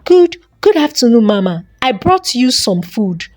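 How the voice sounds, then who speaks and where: Woman voicing a kind, earnest young lady, high-pitched. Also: very animated, unhurried. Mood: warm, kind. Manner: soft-spoken, earnest. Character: kind, apologetic young lady, gentle and sincere.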